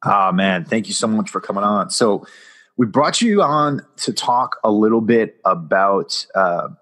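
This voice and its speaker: Upbeat podcast host, lowish voice. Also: male voice, lively intonation, unhurried, a bit bright. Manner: upbeat, conversational, loose pitch. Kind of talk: casual podcast intro and interview.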